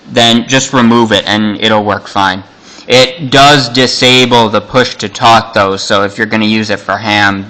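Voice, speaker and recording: A man's voice: medium pitch, pushed and bright, clear, resonant tone, low-quality recording. A man giving an unscripted hands-on electronics demonstration, explaining things in a casual, matter-of-fact way.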